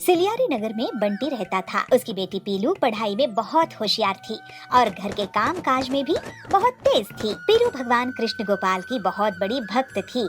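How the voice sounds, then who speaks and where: A woman narrates a scripted children's story. Her voice is very high and animated, moving freely up and down, with faint music behind her. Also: normal speed.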